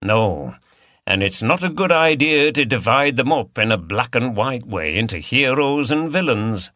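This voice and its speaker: A man voicing a terse, measured male chief inspector in an audiobook. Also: mid-range voice, lively intonation, pushed and bright, no echo, clean studio sound. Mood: wry. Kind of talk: audiobook character dialogue.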